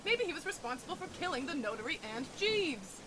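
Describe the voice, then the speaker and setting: A woman's voice: high-pitched, big pitch swings, a little fast, sharp tone, slight background noise, exaggerated voice. A woman playing a haughty, theatrical rich widow who likes her drink in an amateur murder mystery audio drama. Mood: exasperated. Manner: theatrical, indignant.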